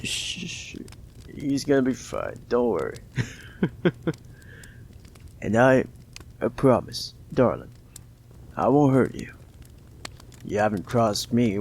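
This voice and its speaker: A man voicing a possessive, menacing mob boss in an audio roleplay, gravelly and slow, coldly affectionate and often whispering. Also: mid-range voice, a little mellow, slight background noise, full character voice.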